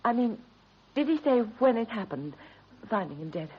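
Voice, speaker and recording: An actress playing a worried, shrewd and loyal British wife in a period radio drama, earnest, deliberate and probing. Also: female voice, fairly high pitch, big pitch swings. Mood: uneasy, probing. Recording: dull vintage radio recording, faint hiss.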